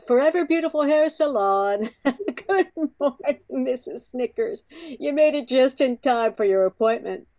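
A woman's voice: high voice, expressive, measured pace. An older woman playing a friendly, talkative hairdresser in an amateur radio play. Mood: friendly, chatty.

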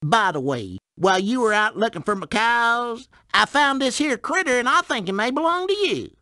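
A man's voice: very high voice, very animated, moderate pace, sharp tone, dry recording, clean studio sound, heavily acted. A man voicing a simple, good-natured video game farmer with a folksy rural American accent. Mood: earnest, folksy. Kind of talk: video game character dialogue.

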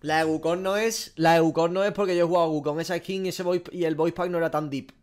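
A man's voice: a little high, fairly even intonation, quick pace, smooth. A man in his twenties with a Spanish accent from Spain, a streamer casually reacting aloud with slang and swearing. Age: 20s. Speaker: gaming streamer. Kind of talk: casual reaction commentary over a quiz. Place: small room at a desk, indoors.

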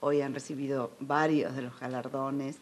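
A woman's voice: very deep voice, level tone, normal speed, slight background noise. An older Argentine woman reminiscing conversationally as a studio interview guest. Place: television studio.

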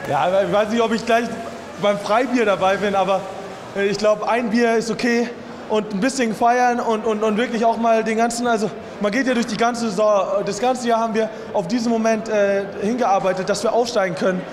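A man's voice: sits high, steady tone, brisk. A man in his twenties giving a casual, hesitant interview as an athlete in a bare room. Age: 20s. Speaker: basketball player. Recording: reverberant room with faint noise.

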